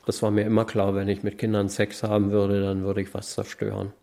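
A man in his late fifties speaking calmly and reflectively in a documentary interview. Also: lowish voice, fairly quick, vocal fry. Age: 50s.

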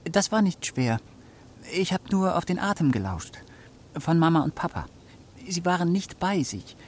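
A man's voice: fairly high pitch, big pitch swings, normal speed. An actor playing a wily talking fox, proud of his keen nose, terse and self-assured, in a children's radio play. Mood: dry. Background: plucked string music.

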